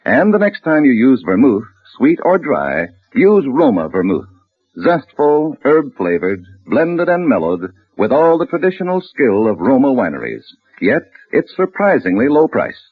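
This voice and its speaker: A man's voice: mid-range voice, huge pitch swings, measured pace, husky, no room sound. A man announcing a sponsor's message on 1940s radio with a polished sales delivery, on a tinny old recording. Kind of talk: 1940s radio advertising read.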